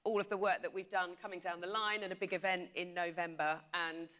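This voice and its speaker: A woman's voice: on the low side, little pitch movement, fairly quick, pushed and bright. A woman talking conversationally and emphatically as a media executive on a panel, repeating phrases for emphasis.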